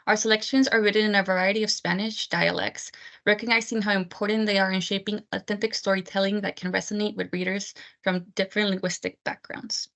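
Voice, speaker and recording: A woman's voice: medium pitch, level tone, fairly quick, gravelly, fairly bright tone. A woman from Texas reading a scripted book presentation over a video call. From United States (Texas). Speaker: book selection editor. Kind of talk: prepared book talk presentation.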